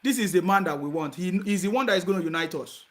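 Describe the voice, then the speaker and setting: Man hosting phone-in talk, emphatic and exclaiming, voice pitched high for a man. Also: animated, fast. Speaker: call-in show host.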